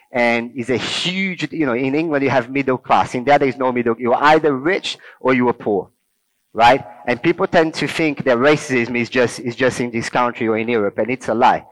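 A middle-aged Brazilian man gives a conversational teaching talk at church. His English carries a Brazilian accent, and his pitch sits in a middle range. He keeps asking the group whether they follow him. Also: low-quality recording. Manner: conversational, checks in with listeners often.

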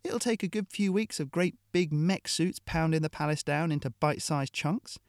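A man voicing a big, brutal female mercenary who sneers and taunts, in a high put-on voice. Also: normal speed, dry recording, studio quality, close to an everyday voice. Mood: contemptuous.

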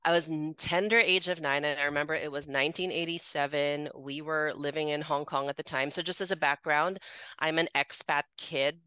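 A woman's voice: low-pitched, fairly even intonation, a little fast, sharp tone, smooth, no room sound. A woman in her forties from the Philippines, a podcast guest candidly telling her life story in a chatty way. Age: 40s. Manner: candid, chatty, many "like" fillers, laughs easily.

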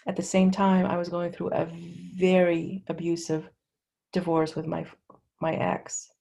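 A middle-aged woman from Lebanon with a fairly low voice and a gravelly fry. She tells her personal story candidly and conversationally, halting now and then as she goes. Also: flat delivery, warm tone. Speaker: interview guest. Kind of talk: personal testimony in casual conversation.

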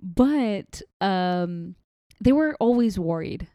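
A young woman from Saudi Arabia speaks. Her voice is mellow and smooth, and her talk is loose and chatty, full of fillers and restarts. The sound is dry and close. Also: mid-range voice, expressive, takes it slowly, no room sound. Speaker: interview guest. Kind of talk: casual interview chat.

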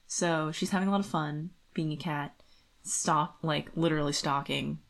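Low-voiced woman chatting casually about books. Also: fairly even intonation, normal speed, vocal fry. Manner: informal, rambling, like-heavy phrasing. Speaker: podcast co-host. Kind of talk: casual book discussion chat.

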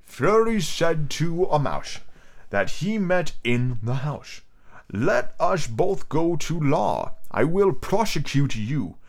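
A man's voice: a little high, sing-song, slow pace, pitched above the performer's own voice, heavily acted. Man voicing a touchy, indignant storybook mouse. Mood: mournful. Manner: sharp, indignant, scolding. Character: touchy, severe male storybook mouse, easily offended.

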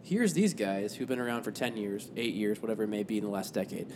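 A man hosting a podcast in a loose, rambling casual chat, quick to laugh. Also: fairly low pitch, darkish tone, a little hiss or hum. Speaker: podcast host.

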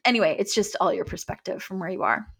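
A woman from Canada talks as a podcast guest, circling her thoughts with fillers and restarts. Her voice sits at an ordinary middle pitch, and she laughs easily between points. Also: quick pace, gravelly, slightly rough. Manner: rambling, lots of fillers and restarts, laughs often. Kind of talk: casual interview chat.

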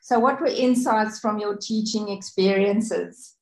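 A woman's voice: medium pitch, measured pace, echoey room, poor audio. A woman podcast host whose first language is Afrikaans, chatty and encouraging as she interviews a guest.

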